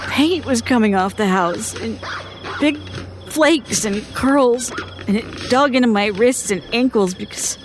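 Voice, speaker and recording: A woman playing a terrified young female survivor in an audio horror drama, recounting events in a halting, haunted way with stammered repetitions. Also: sits high, background noise, natural voice. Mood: tense, breathless recounting. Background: music.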